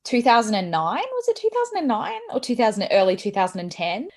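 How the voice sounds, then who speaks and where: A woman's voice: fairly high pitch, very animated, fast talker, darkish tone. A woman hosting a podcast, introducing her guest and asking questions in a casual chat. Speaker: podcast host.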